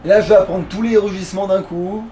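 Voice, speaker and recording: A man's voice: high-pitched, fairly even intonation, fast talker, dark tone. A man chatting casually in crude, slangy as he comments on a video game he is playing. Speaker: let's play gamer. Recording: noisy room, distant microphone.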